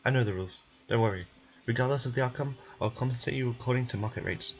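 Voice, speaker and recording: A man's voice: medium pitch, fast talker, close to an everyday voice, low-quality recording. A man voicing a wordy, matter-of-fact scholarly client in a game, speaking formally.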